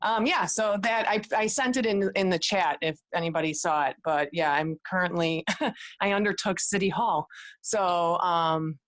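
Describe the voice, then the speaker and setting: A woman's voice: on the low side, normal speed, no echo. A woman in her forties or older, chatting casually on a video call, rambling with many restarts and small laughs. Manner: rambling, restarts often, laughs between thoughts. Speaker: participant in a coaching group call.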